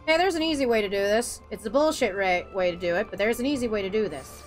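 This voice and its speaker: A woman's voice: mid-range voice, fast talker, faint music behind. A woman streaming a video game, ranting sarcastically and swearing at enemies as she plays. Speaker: gaming streamer. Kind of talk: ranting let's-play commentary.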